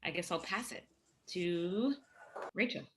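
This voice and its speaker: A woman introducing herself on an online panel in an unhurried, reflective way, over a video call. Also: fairly low pitch. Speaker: panelist, movement director.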